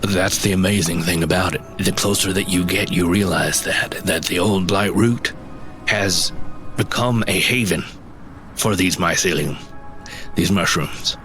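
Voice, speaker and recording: Shy woodsy mushroom forager, really low voice, halting and hesitant, music underneath, fantasy audio drama. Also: male voice, fairly bright tone. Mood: earnest explaining. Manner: hesitant, halting, not much for talking.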